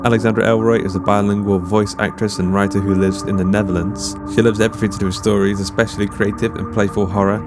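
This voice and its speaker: A man's voice: fairly low pitch, flat delivery, fast talker, hardly any pauses. A man hosting a podcast, reading a scripted outro with the credits. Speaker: podcast host. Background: music.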